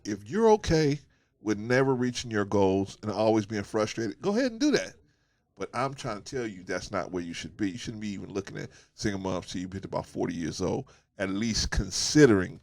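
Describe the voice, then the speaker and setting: A man's voice: medium pitch, dry recording. A man with a stuffy nose from a cold, giving a casual opinion monologue as host of his channel, emphatic and preachy, repeating his points and asking "right?".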